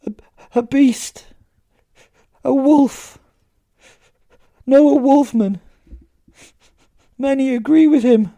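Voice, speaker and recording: A man's voice: extremely high pitch, extremely slow, creaky, long pauses, mellow, dry recording, studio quality, pitched above the performer's own voice, heavily acted. A man voicing a terrified, tearful stage psychic in a horror story, stammering and repeating himself in anguish. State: crying, distressed. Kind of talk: dramatic audio horror story acting.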